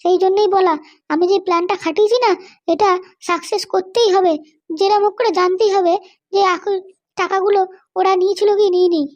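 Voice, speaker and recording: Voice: extremely high pitch, fairly even intonation, brisk, long pauses. A performer voicing a bossy, suspicious, scheming Bengali wife and mother in a comedy cartoon, with a childlike voice and a nagging, commanding manner. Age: middle-aged. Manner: nagging, commanding, keeps demanding to be understood. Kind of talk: animated comedy cartoon dialogue.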